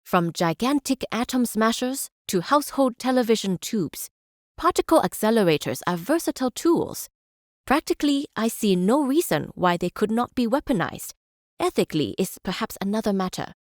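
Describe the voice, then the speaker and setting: An actress playing a measured, idealistic chancellor and scholar, speaking formally and deliberately in scripted game speeches. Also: female voice, on the high side, sing-song, a little mellow, no room sound, studio quality. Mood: earnest.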